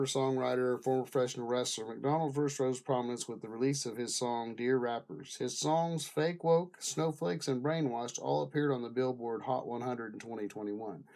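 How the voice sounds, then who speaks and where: A man talking off the cuff in a casual, rambling vlog style, often pausing and circling back as he goes. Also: mid-range voice, a little mellow. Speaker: vlogger. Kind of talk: rambling casual commentary to camera.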